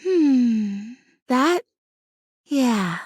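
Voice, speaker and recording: A woman voicing a sweet, plucky, excitable talking houseplant in a video game, with earnest, gushing delivery and quaint folksy exclamations. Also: fairly high pitch, very slow, slightly rough, no room sound, clean studio sound, full character voice. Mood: tender, grateful. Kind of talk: video game character acting.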